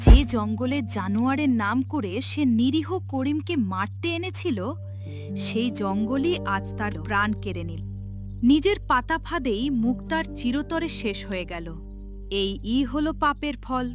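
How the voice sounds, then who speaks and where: Female narrator, high pitch, dramatic scripted storytelling, clear background music. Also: expressive, a little slow.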